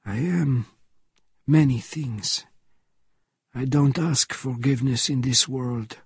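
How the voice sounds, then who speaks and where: A man voicing a weary, grave old French killer in a dramatic audiobook reading, speaking in measured phrases. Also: mid-range voice, slightly rough, dry recording, heavily acted. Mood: grave, resigned. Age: older.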